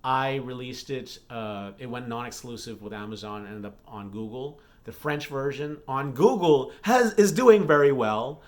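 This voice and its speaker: Male author-vlogger, medium pitch, conversational and unscripted, loose thinking-out-loud delivery. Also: unhurried, few pauses. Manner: rambling, thinking aloud, repeats and self-corrects. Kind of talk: casual unscripted vlog talk.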